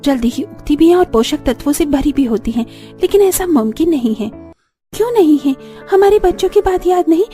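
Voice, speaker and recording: Very high-pitched earnest village daughter-in-law acted by a woman. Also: lively intonation, a little fast, dark tone, soft background music. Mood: earnest, hopeful. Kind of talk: cartoon story dialogue.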